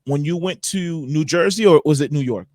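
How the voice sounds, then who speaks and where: A man with a slightly high voice hosts a casual hip hop podcast interview. He talks in upbeat slang, and the sound is dry and close. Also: moderate pace, a little mellow, no room sound. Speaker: podcast host.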